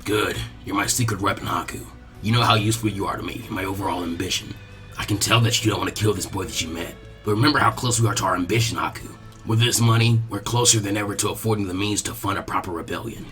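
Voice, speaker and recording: A man voicing a gruff, menacing ninja swordsman in an audiobook-style story, with a stern, warning growl. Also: on the low side, fairly even intonation, quick pace, fairly bright tone, soft background music, pitched below the performer's own voice, lightly acted. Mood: cold, demanding.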